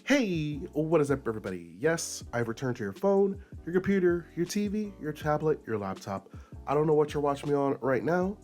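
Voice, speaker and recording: A man hosting his own commentary video, his voice raspy and a little nasal from a recent illness, talking emphatically in an unscripted rant and jumping up in pitch for emphasis. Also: sing-song, fairly quick, over music. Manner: emphatic, with sudden high-pitched bursts. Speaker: commentary YouTuber host.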